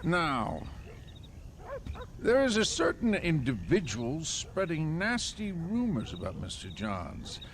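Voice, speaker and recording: An actor playing an oily crooked political fixer in a Western video game, speaking in florid, theatrical, pompous phrases. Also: male voice, on the high side, huge pitch swings, slow pace, slight background noise, full character voice. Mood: slick, pompous. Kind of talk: video game cutscene acting.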